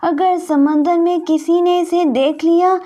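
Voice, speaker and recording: Woman doing a worried cartoon papa fish, really high pitched, protective and earnest. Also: steady tone, measured pace, warm tone. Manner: earnest, urgent pleading. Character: worried young father fish, protective of his baby.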